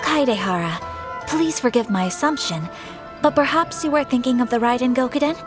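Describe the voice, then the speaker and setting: Actress as a poised, higher-voiced young noblewoman. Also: expressive, close to an everyday voice. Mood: polite. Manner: formal, measured, polite. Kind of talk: video game voice acting. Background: music.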